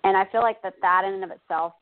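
A woman's voice: medium pitch, quick pace, noisy background. A woman in her thirties talking conversationally as a guest giving self-care advice, explaining chattily with examples. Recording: dull phone-like audio.

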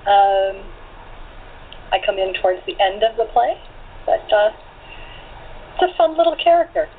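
A woman's voice: mid-range voice, animated, moderate pace. A woman, an actress, answering casually as an interview guest. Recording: tinny phone-like call with hiss.

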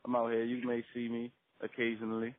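A man's voice: medium pitch, completely flat delivery, fast talker, phone-quality sound. A twenty-year-old man, a rapper being interviewed, chatting casually in slangy speech and repeating phrases for emphasis.

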